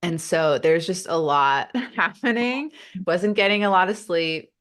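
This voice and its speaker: Low-voiced slow woman who stutters. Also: animated, fairly bright tone. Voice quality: stutters, with repeated sounds and blocks. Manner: open and reflective, with many fillers. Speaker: podcast guest, stuttering advocate. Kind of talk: casual interview talk.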